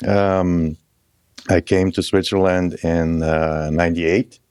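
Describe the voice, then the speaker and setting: A man in his thirties from Croatia, a guest musician chatting casually in a halting, loose way with restarts. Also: low voice, steady tone, a little mellow, clean tone, dry recording, studio quality. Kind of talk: casual interview chat.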